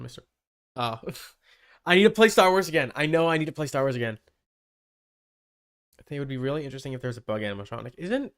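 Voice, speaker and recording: A man chatting casually on a livestream, rambling and thinking out loud. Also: mid-range voice, clean tone. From Canada. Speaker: streamer.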